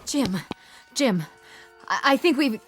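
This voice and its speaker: A woman's voice: sits high, expressive, slow pace, music in the background. A woman playing an earnest, modest codebreaking assistant in a radio drama, eager and slightly hesitant. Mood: excited.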